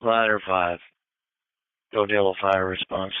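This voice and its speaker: A man's voice: low voice, steady tone, a little slow, clean tone, no room sound, narrow, tinny audio. A man giving terse emergency services radio traffic, heard over a scanner. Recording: thin band-limited scanner radio.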